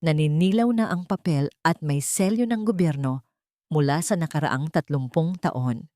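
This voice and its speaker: A woman's voice: low-pitched, normal speed, warm tone, no room sound, clean studio sound. A woman reading scripted dramatic narration as a storyteller.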